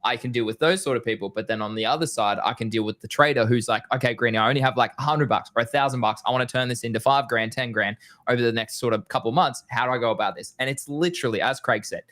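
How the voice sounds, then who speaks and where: A man speaking quickly and fluently as a trading coach, laying out his points in a brisk, explanatory manner. His pitch is middling and his delivery rapid. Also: a bit bright. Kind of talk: casual podcast chat.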